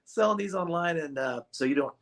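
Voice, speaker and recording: A man's voice: fairly high pitch, expressive, a little fast. A man, a ukulele shop owner being interviewed, chatting casually and joking with frequent laughter. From United States. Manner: jokey, laughs often. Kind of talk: casual chat.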